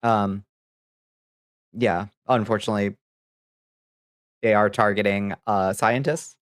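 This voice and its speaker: A man hosting a livestream, chatting casually with viewers and commenting on news in a halting, profane, muttering way. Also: fairly low pitch, fairly even intonation, clear, resonant tone, dry recording, clean studio sound. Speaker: livestream host reading viewer chat.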